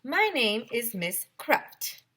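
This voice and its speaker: A woman's voice: on the high side, sing-song, slow, bright, forceful tone, husky, voice pushed up, full character voice. A woman voicing a cheerful, playful clown lady substitute teacher in a children's storybook, with an exclaiming delivery. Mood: cheery, playful.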